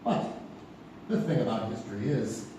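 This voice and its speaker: A man's voice: medium pitch, lively intonation, normal speed, distant mic, background noise, lightly acted. A man playing a storyteller who narrates an old murder mystery on stage, knowing and theatrical, with rhetorical questions. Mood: knowing, suspenseful. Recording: echoey live theatre recording from afar.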